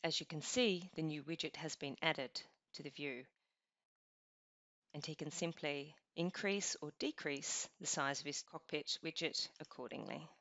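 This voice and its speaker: A woman narrating a scripted software walkthrough, explaining each step in an even, instructional way. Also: low voice, measured pace, dry recording. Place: voice-over over screen recording. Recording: dull lo-fi voice-over.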